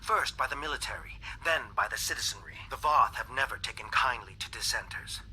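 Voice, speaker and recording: A fretful alien scientist. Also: male voice, medium pitch, lively intonation, pushed and bright, a little hiss or hum, rough recording. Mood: fearful. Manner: measured, grave, fretful. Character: fearful dissident male alien scientist. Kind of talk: game cutscene voice acting.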